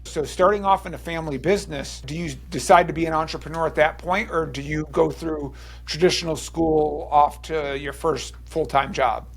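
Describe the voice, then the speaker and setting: A man hosting an interview show, asking conversational, measured questions. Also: a little high, fairly even intonation. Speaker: podcast host, entrepreneurship teacher.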